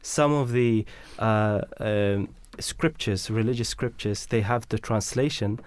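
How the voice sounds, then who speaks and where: A man's voice: lowish voice, unhurried, dry recording. A man whose mother tongue is Bangla explains his points at length in a casual radio discussion, with many fillers and restarts.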